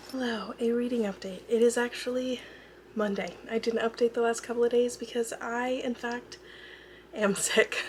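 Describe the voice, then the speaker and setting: A young adult woman with a hoarse, fading voice, chatting casually about what she reads, rambling with fillers and self-corrections. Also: a little high, fairly bright tone, a little hiss or hum. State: hoarse, losing her voice. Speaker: book vlogger. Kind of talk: casual chat. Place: at home with a dog nearby. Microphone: camera mic.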